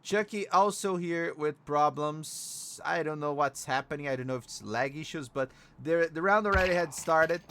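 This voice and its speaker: A man from Brazil with a Brazilian Portuguese accent, a native Portuguese speaker casting an esports match alone in English, excitable on plays and chatty in between. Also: on the high side. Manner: excitable, quick bursts on plays, chatty asides and laughs. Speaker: esports caster. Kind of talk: solo game commentary and chat reading.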